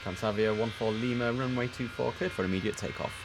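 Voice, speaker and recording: A man's voice: on the low side, steady tone, fast, music in the background. A man livestreaming as an air traffic controller, giving clipped formal radio instructions and muttering casual commentary to himself in between.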